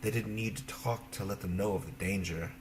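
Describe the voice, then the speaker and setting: A man narrating a children's fairy tale as an expressive storyteller, in simple phrases. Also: lowish voice, fairly quick, a little hiss or hum.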